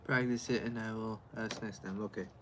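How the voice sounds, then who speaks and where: A man's voice: lowish voice, steady tone, a little fast, slight background noise. A man livestreaming outdoors in chilly air, rambling casually and answering his chat in a loose, plain-spoken way. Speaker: livestreamer reviewing beer.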